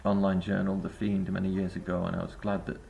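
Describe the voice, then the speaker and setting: A man's voice: deep voice, monotone, quick pace, creaky, dark tone, a little hiss or hum. A man giving a reflective spoken essay to camera as a vlogger, rambling and hesitant with many filler sounds. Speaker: vlogger and busking singer.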